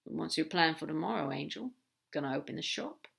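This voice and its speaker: Woman doing a male demon, laid-back, casual drawl, lowish voice, storybook reading. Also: expressive, brisk, gravelly, a bit bright, heavily acted. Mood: teasing.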